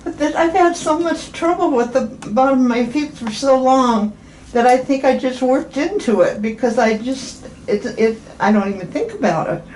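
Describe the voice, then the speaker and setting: 80-something lady patient chatting, rambly and halting, keeps restarting, roomy echo w a bit of noise. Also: high-pitched, lively intonation, darkish tone. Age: 80s. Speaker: podiatry patient. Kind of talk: casual chat during an appointment. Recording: echoey room with faint background noise.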